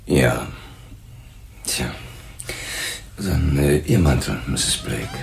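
An actor playing a dry, patient police lieutenant in a German crime radio play, polite, insistent and matter-of-fact. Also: male voice, a bass voice, animated, extremely slow, creaky, faint music behind, full character voice. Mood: calmly insistent.

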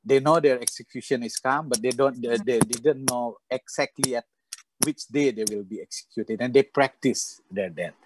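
A middle-aged man from Bali with an Indonesian accent, interviewing reflectively and haltingly over a video call on an earphone mic. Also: mid-range voice, background noise. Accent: Balinese Indonesian. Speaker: interviewer, moderator. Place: book-lined room, indoors, video call. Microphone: earphone headset mic.

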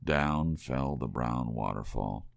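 A man narrates a story as an ASMR bedtime audiobook. His voice is extremely low, with a gravelly creak. He reads calmly and evenly paced, in a very dry sound with no room echo. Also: slow pace. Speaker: audiobook reader.

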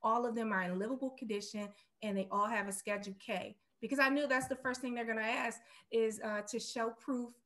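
Middle-aged woman, investor guest on a video call, fairly high voice, conversational. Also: fairly even intonation, a little fast, hardly any pauses, a bit bright. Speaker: guest real estate investor. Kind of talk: casual business interview. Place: room at home, indoors, on a video call.

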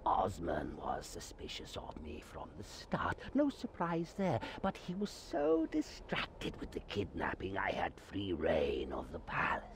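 An actor playing a sly, servile poisoner and envoy in a video game, with a breathy, obsequious, deliberate delivery. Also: male voice, deep voice, wildly swooping pitch, slight background noise, full character voice. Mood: sly, hushed. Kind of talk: video game villain acting.

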